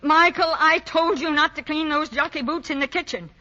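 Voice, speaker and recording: A muffled vintage radio recording of a fussing middle-aged mother. Her voice is high and sharp-edged, exasperated yet loving, in old radio drama style. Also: moderate pace. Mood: exasperated scolding. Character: fretful, scolding, loving mother of grown sons. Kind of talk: 1940s radio acting.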